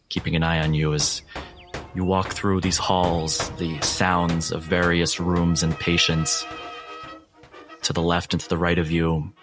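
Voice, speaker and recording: A man narrating scenes as a tabletop game master in a deliberate, ominous manner. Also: low voice.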